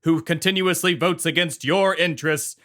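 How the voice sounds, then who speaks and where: A man's voice: fairly high pitch, steady tone, moderate pace, pushed and bright. A man playing a pompous old-money New England lobbyist. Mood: grandstanding. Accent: put-on quasi-New England. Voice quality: haughty, self-important, mock-aristocratic. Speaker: podcast host in character. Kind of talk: improvised comedy podcast.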